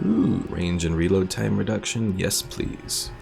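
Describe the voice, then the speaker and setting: A man's voice: low voice, animated, unhurried, gravelly, over music. A man giving casual live commentary as a game streamer, chatting and thinking aloud as he explains mechanics.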